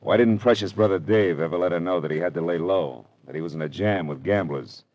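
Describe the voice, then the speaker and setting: A man's voice: low voice, a little fast, darkish tone, muffled recording. An actor playing a wisecracking, hard-boiled private detective in an old radio crime drama, narrating his case in a sardonic, clipped, tough manner. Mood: wary, probing. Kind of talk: 1940s-50s radio crime drama acting, first-person narration. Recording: old radio recording with music behind.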